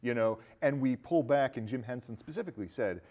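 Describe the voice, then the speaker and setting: A man co-hosting a casual film discussion, talking in a halting, rambling way. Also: medium pitch, a little mellow. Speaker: podcast co-host.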